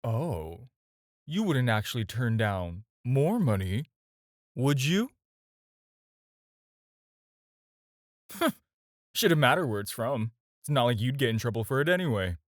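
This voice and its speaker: A flirtatious supervillain played by a man speaks straight to the listener in an audio roleplay, recorded dry. His intonation swings widely as he coaxes and teases, with playful, drawn-out pauses. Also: mid-range voice, big pitch swings, no echo, lightly acted. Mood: sly, coaxing. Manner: coaxing, playful, hesitant pauses and drawn-out teasing. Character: smooth-talking, flirtatious adult male supervillain, teasing and persuasive.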